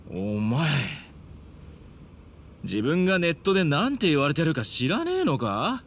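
Cocky, lively young punk. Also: male voice, on the high side, a little slow, a little hiss or hum, full character voice. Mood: mocking. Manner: slangy, taunting. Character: cocky, mocking young male punk livestreamer. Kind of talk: dramatic video game acting.

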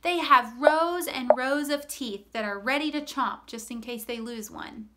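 A woman in her thirties hosting story time for young children, expressive, animated and encouraging, in simple phrases. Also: sits high, a little slow. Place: small room with cloth backdrop. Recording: roomy echo, music behind.

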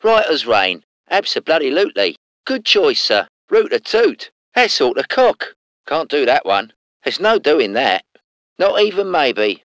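A man's voice: on the high side, sing-song, measured pace, fairly bright tone, no echo, full character voice. An actor with a British accent voicing a cheeky, salty tank crewman in a war game, in slangy, clipped phrases. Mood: eager, cheeky. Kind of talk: short scripted game unit responses.

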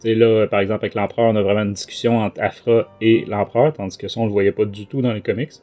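A man's voice: fairly low pitch, fairly even intonation, fast, dark tone. Quebec French man over background music. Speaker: podcast host. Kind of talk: casual book review discussion. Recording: music under the voice.

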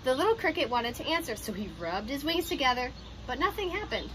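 Young woman doing a kids storybook read-aloud, high voice, expressive, out on a porch w background noise. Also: fairly quick. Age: young adult. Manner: expressive, clearly addressed to young children. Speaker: teacher reading picture books aloud. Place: outdoors on a porch by glass doors. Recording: ambient outdoor noise behind voice.

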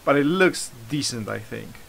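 A man casually narrating a DIY vlog in an informal, halting, self-deprecating way. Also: medium pitch, animated, creaky, a bit bright, poor audio. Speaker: motorcycle hobbyist, video host.

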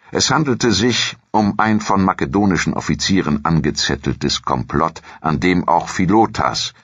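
A man with a gravelly, husky voice reads scripted documentary narration in a measured, formal storytelling manner. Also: very deep voice, fairly bright tone, no echo, highs cut off.

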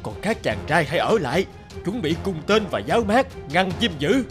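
A man voicing a solemn old male village elder in a cartoon, speaking ceremonially over loud music. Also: high voice, big pitch swings, moderate pace. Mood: alarmed. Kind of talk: cartoon voice acting.

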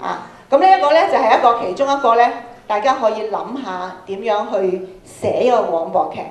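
A woman's voice: mid-range voice, animated, brisk. A woman with a Hong Kong Cantonese accent giving an interactive talk to schoolchildren, prompting them with frequent questions. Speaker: workshop speaker, radio programme producer. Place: large school auditorium, indoors. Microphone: handheld microphone, filmed from audience. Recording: echoey hall sound from PA.

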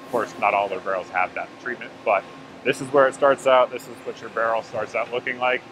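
A man's voice: mid-range voice, a little fast, noisy background. A man, casually talking viewers through a factory tour and then showing gear in an office, with lots of fillers and self-corrections. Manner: relaxed, chatty, many fillers and self-corrections. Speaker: gun channel host. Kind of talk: casual walkthrough vlog. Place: loud factory floor, then a small office room.

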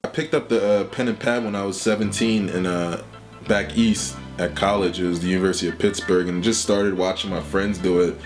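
A man's voice: low-pitched, fairly even intonation, fairly quick. A young man talking casually about his music label, with lots of "you know" fillers. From Liberia. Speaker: rapper and label owner. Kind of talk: casual sit-down interview. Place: small home studio indoors.

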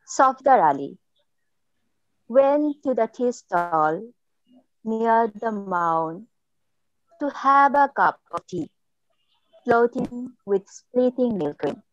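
Bangladeshi woman writer reading her work, very unhurried delivery, dull video-call sound. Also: fairly high pitch, expressive, dry recording. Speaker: writer and filmmaker. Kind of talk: literary reading and presentation. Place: indoor room, on a video call.

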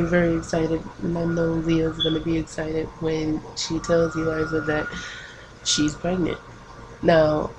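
A woman doing casual game commentary, keeping her voice hushed at night with bursts of excitement. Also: lowish voice, noisy background. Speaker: let's play gamer. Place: home at night.

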